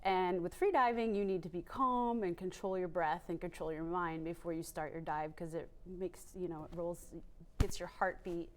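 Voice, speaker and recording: A woman's voice: on the low side, fairly even intonation, normal speed. A woman from Maine, a free diver, telling her story in a casual interview, chatty and rambling with many fillers. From Maine, USA.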